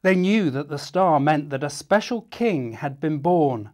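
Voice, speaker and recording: A man reading a children's story aloud in an expressive, dramatic and deliberate way. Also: fairly high pitch. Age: 50s. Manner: animated, dramatic, deliberate, addressing young listeners.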